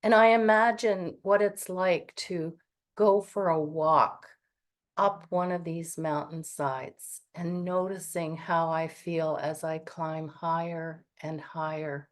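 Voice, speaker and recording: Slow, calm woman guiding a meditation. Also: low-pitched. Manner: calm, gentle, soothing with long pauses. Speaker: meditation guide. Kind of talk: guided meditation.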